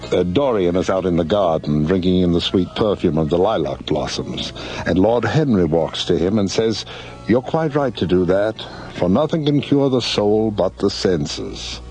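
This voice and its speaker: An actor playing a courteous, brooding mortician villain in an audio drama, speaking in a florid, measured, theatrical way. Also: male voice, deep voice, a little mellow, natural voice, rough recording.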